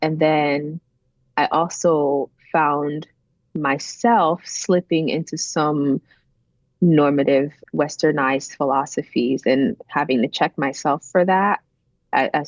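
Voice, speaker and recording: A woman's voice: low-pitched, dry recording, muffled recording. A woman interview guest telling her personal story in a reflective, emphatic way, repeating phrases for weight. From Benin, later grew up in the United States.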